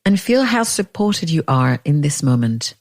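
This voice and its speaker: A woman leading a guided meditation in a gentle, unhurried way, leaving long pauses between phrases. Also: low voice, big pitch swings.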